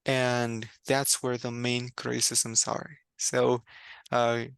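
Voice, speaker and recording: Gravelly-voiced man. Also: mid-range voice, completely flat delivery, slow, a bit bright, dry recording. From Mexico. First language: Spanish. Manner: reflective, candid, laughs often, restarts words. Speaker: guest. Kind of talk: personal faith story in conversation.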